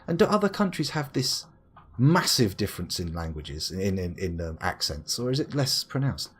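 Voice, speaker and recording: A man with an English accent talks over his game to a live chat, wandering from tangent to tangent and poking fun at himself. His voice sits on the low side with a slightly husky edge, and it swoops up and down a lot as he rambles. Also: moderate pace. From England. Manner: rambling, chatty, self-mocking, lively swings into exclaimed bits. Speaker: gaming streamer reading chat. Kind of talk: casual live-stream chat.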